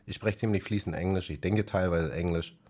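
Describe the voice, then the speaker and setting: A man's voice: sits low, fairly even intonation, a little fast, darkish tone. A man chatting casually in his native German on his music channel, thinking aloud with many filler sounds. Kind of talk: casual spoken vlog.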